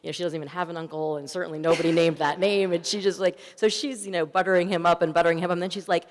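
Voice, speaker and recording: A woman's voice: lowish voice, fairly even intonation, rapid-fire, a little hiss or hum. A woman speaking conversationally as a film director answering audience questions, telling stories informally. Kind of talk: festival Q&A, conversational storytelling. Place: large reverberant hall at a public event. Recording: echoey hall with long reverb.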